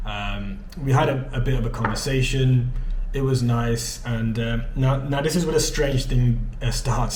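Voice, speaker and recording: A man's voice: mid-range voice, darkish tone, roomy sound, a little hiss or hum, rough recording. A man from England with an English accent, chatting casually to camera in a vlog, rambling with many ums and restarts. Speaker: vlogger. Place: his home in Canada.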